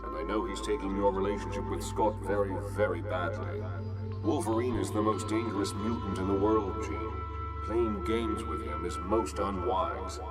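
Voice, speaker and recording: A man's voice: low-pitched, fairly even intonation, normal speed, over loud music, natural voice. A man voicing a grave older professor and mentor, formal and admonishing, in a motion comic. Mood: grave, advising.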